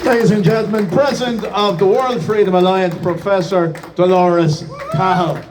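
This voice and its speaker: A man's voice: high voice, steady tone, moderate pace, distant mic, a crowd in the background, noisy background. An Irish-accented man speaking as master of ceremonies, giving a declamatory public address with some hesitations. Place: outdoors in front of a building.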